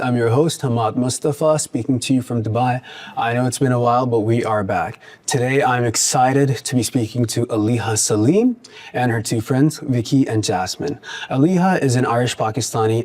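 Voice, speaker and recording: A man hosts a podcast interview in a relaxed, easygoing way. His voice sits at an ordinary middle pitch for a man. He asks friendly questions and pads them with fillers as he goes. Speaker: podcast host. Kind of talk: casual interview. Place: studio in Dubai.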